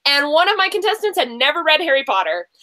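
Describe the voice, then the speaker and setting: A woman hosting a casual book quiz, chatting in an animated, teasing way. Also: high-pitched, quick pace, a crowd in the background. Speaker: quiz show host.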